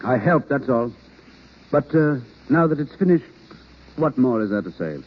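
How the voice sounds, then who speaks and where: An actor playing a self-effacing, lovesick acting teacher in a 1930s radio romance, speaking earnestly. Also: male voice, medium pitch, animated, normal speed, lightly acted. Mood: resigned, encouraging. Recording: muffled old radio recording.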